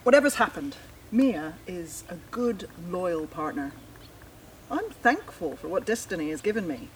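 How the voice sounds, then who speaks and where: A woman's voice: lowish voice, sing-song, measured pace, far from the mic, slight background noise, low-quality recording. Frank woman, slightly off-mic acted. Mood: earnest, formal. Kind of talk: naturalistic audio drama acting.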